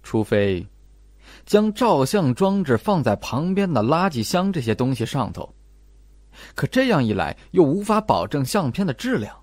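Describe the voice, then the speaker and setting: Calm probing detective, acted by a man, measured questions, audiobook mystery, expressive intonation, dry sound. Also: a little high, dry recording. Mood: analytical. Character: calm, probing detective laying out his deductions. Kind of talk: audiobook character acting.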